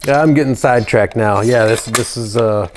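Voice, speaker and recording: A man's voice: medium pitch, moderate pace. A man presenting a hobby video in a casual, nostalgic show-and-tell style, rambling and thinking aloud as he goes. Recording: clear background noise with clicks.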